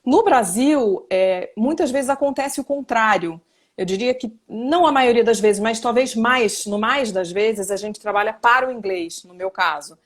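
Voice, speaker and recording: A woman's voice: a little high, moderate pace. A Brazilian woman who works as a simultaneous interpreter and journalist, explaining her work in a casual live interview with examples and self-corrections. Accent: Brazilian Portuguese. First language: Portuguese. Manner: reflective, explains with examples and restarts.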